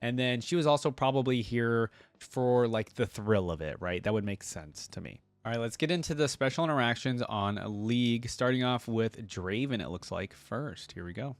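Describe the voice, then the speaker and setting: A man's voice: mid-range voice, expressive, moderate pace, hardly any pauses, dry recording. Casual male reactor, dry close sound. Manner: informal, thinking aloud. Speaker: reaction video host.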